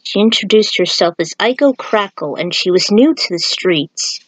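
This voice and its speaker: A woman's voice: mid-range voice, animated, normal speed, dry recording, poor audio. A woman reading her own fan fiction aloud as an amateur narrator and adding author's notes. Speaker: fan fiction writer and podfic reader.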